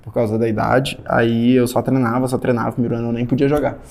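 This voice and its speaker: A man in his late twenties hosts a casual chat, talking fast and agreeing easily with his guest. His voice is warm and dark. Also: medium pitch, level tone, creaky. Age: 20s. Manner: friendly, agreeable interviewer. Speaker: host.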